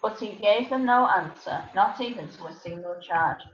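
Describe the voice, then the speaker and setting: A woman narrates a gospel drama from a script, in a mid-pitched voice. The room is echoey and the sound slightly dull, with faint noise behind. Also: normal speed.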